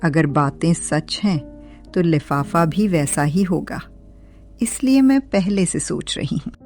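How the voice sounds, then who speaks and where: Casting: woman as older village mother-in-law, lowish voice, very unhurried, sarcastic and demanding, cartoon drama with music underneath. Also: expressive, darkish tone, exaggerated voice. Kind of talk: Hindi cartoon moral story acting.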